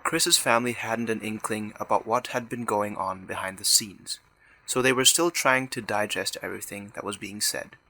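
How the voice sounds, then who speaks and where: A man's voice: lowish voice, moderate pace, pushed and bright, rough recording. A man narrating a scripted dramatic story.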